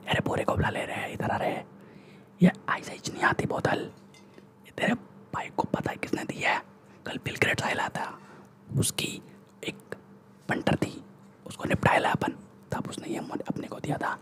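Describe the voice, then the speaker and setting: A man's voice: measured pace, pauses often, a bit bright, close to an everyday voice. A young man with an Indian accent playing a cocky, threatening street gangster in a whispered ASMR roleplay, speaking bossy street slang close to the microphone. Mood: scheming, bossy. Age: young adult. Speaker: ASMR performer. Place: small room indoors. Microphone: close-up large desktop microphone.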